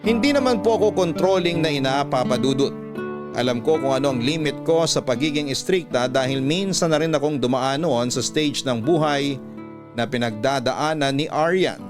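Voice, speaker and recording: Man, Filipino accent, average pitch, earnest radio storyteller, measured scripted narration. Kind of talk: scripted dramatic narration of a listener's letter.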